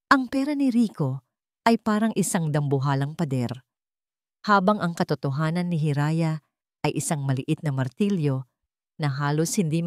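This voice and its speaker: A woman's voice: fairly low pitch, darkish tone, no echo, studio quality. A woman with a Filipino accent narrating a scripted dramatic story like an audiobook.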